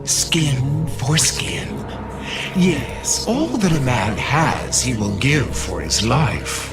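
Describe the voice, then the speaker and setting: An actor playing Satan as a sly, malicious accuser with a deliberate, taunting manner in a dramatised scripture reading. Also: male voice, mid-range voice, sing-song, loud music behind the voice. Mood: sly, challenging.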